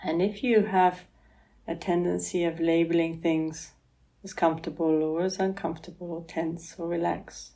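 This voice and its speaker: A woman guiding a relaxation meditation as a yoga therapist, speaking in a gentle, soothing manner with long pauses. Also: fairly low pitch, gravelly.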